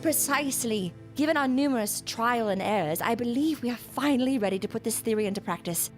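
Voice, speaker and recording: A woman's voice: a little high, expressive, a little fast, close to an everyday voice. Actress doing a serious gothic lady scientist, formal lecturing way of talking, music under it. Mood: intent, explaining. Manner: formal, precise, lecturing diction. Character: earnest, exacting woman scientist obsessed with reanimation. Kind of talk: period gothic audio drama acting.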